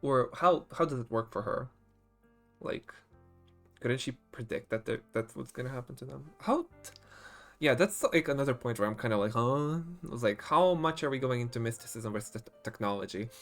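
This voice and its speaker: A man's voice: mid-range voice, moderate pace. A man giving casual, rambling live commentary as he streams a game playthrough. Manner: rambling, animated reactions. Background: faint game music.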